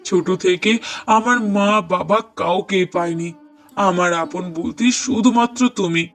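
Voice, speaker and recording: A man's voice: sits high, slow, a bit bright, exaggerated voice. A man playing an affectionate young husband in a cartoon, speaking earnestly and tenderly with emphatic repetition. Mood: overjoyed. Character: affectionate young husband, delighted father-to-be, gentle and doting. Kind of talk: cartoon dialogue acting. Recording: voice over prominent music bed. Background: music.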